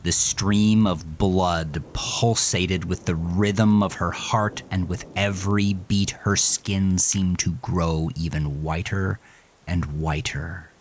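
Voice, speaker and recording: A man's voice: deep voice, steady tone, takes it slowly, slight background noise. A man narrating a horror story with brooding intensity.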